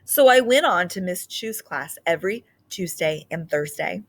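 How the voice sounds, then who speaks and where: A woman's voice: fairly low pitch, expressive, normal speed. Woman reading a storybook aloud. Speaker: storybook reader. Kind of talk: read-aloud children's story narration.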